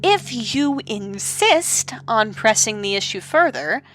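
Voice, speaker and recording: A woman voicing an exasperated old male griffin sun god in an audio drama, measured and authoritative, with sighs. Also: fairly high pitch, expressive, a bit bright, soft background music, poor audio. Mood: weary, exasperated.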